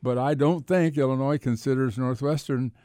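A man's voice: medium pitch, fairly even intonation, moderate pace, dark tone, dry recording. An older man with an American accent talking sports casually, in a rambling, reflective way. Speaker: sports columnist and radio co-host.

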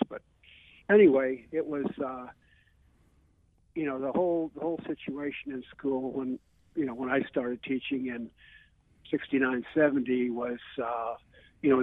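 A man in his seventies with an American accent talks over a thin, tinny phone line. His voice is gravelly and swings up and down in pitch. He reminisces casually, halting and rambling as he goes. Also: no echo. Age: 70s. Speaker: phone-in guest, retired PE teacher and coach. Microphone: telephone.

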